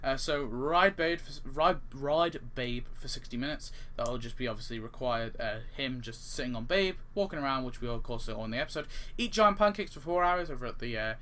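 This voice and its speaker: A man's voice: mid-range voice, animated, brisk, few pauses, bright, forceful tone. A man giving casual, off-the-cuff gaming commentary in a rambling way, often correcting himself mid-sentence. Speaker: gaming video creator. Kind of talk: casual off-the-cuff commentary on game update ideas.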